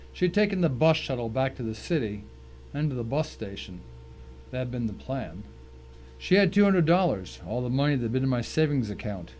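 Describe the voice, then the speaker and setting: Male narrator, average pitch, scripted dramatic storytelling, slightly noisy humming recording. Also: a little mellow.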